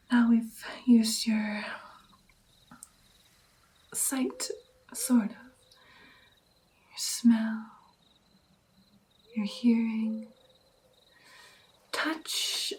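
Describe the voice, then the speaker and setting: A woman playing a doting, playful female vampire mentor in an ASMR roleplay, speaking softly and breathily, often in a whisper, coaxing and encouraging. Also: on the high side, fairly even intonation. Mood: thoughtful, instructing. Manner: slow, coaxing, encouraging, lingering over phrases. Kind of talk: ASMR roleplay, personal attention.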